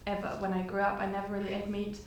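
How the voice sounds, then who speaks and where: Podcast guest: woman, early twenties, from Germany, flat-toned chatter, echoey room. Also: mid-range voice, very fast. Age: 20s. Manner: chatty, many fillers. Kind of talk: casual chat. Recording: echoey room with faint noise.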